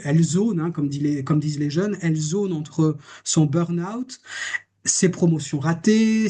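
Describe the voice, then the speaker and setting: A man's voice: fairly high pitch, darkish tone, slightly rough. A man, a therapist, giving a reflective public lecture on a case, posing rhetorical questions as he goes. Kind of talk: lecture presenting a case study.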